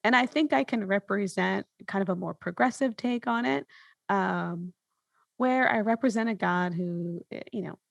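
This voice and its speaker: A woman's voice: medium pitch, moderate pace, vocal fry, no room sound. A woman speaking reflectively in a conversational interview, searching for her phrasing and often checking in with "right?". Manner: thoughtful, hesitant, searching phrasing with rhetorical "right?".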